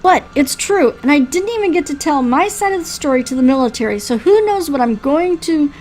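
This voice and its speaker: A woman's voice: high-pitched, lively intonation, fairly quick, a little mellow, voice pushed up. A woman reading a visual novel aloud as an injured, self-pitying young noblewoman, plaintive and emphatic.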